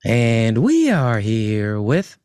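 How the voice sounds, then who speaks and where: A man's voice: medium pitch, wildly swooping pitch, extremely slow, a little mellow, clean tone, no room sound, studio quality. A man hosting a casual podcast, joking crudely and laughing often. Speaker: podcast host.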